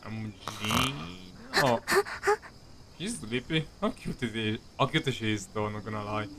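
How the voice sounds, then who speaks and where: A man streaming a video game with casual, rambling commentary, halting and jokey, often repeating his words. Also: lowish voice, animated, a crowd in the background. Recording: game music behind the voice. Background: game music.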